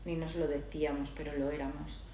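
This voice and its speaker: Middle-aged woman from Galicia, lowish voice, reflective interview guest who pauses to find words; roomy sound with some noise. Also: little pitch movement, dark tone. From Galicia, Spain. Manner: thoughtful, hesitant, searching for words. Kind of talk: reflective personal conversation.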